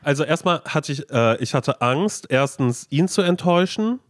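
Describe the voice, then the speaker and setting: A man's voice: a little high, lively intonation, normal speed, dry recording, studio quality. A man with a nasal, stuffy voice from a cold, chatting and joking as a podcast co-host. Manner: joking, rambling, laughs often. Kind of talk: casual chat.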